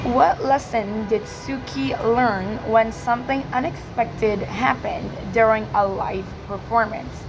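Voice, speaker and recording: Female student presenter, high but croaky voice, slow and hesitant delivery, informal talk over a noisy recording. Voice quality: croaky, cracking. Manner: hesitant, many filler likes. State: hoarse from yelling. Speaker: student presenter practising English speaking. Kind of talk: informal spoken question-and-answer presentation.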